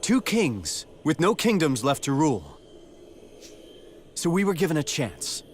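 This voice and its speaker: A man's voice: on the high side, sing-song, a little slow, a little hiss or hum. An actor playing a noble, resolute young king in a video game, speaking with earnest heroic conviction. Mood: earnest pleading. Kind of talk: dramatic video game voice acting.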